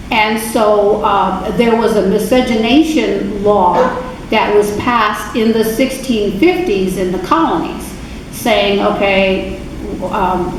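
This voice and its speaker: A woman giving an informal history talk to an adult church class, unhurried, with many fillers and self-corrections. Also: medium pitch. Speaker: guest speaker at adult faith class. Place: church meeting room. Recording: very echoey, distant, noisy room recording.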